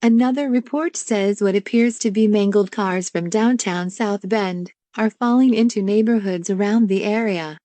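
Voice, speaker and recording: Female newsroom colleague acted role: mellow, dry-recorded voice, earnest and concerned, relaying reports on air. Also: mid-range voice, moderate pace, hardly any pauses, no room sound, natural voice. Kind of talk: live news broadcast interjection.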